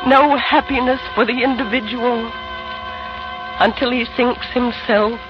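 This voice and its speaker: Actress as an anguished wife, music behind, dull radio. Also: female voice, fairly high pitch, fairly even intonation, unhurried, lightly acted. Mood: fervent prayer. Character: anguished young wife facing death, prayerful and idealistic. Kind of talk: 1940s radio acting.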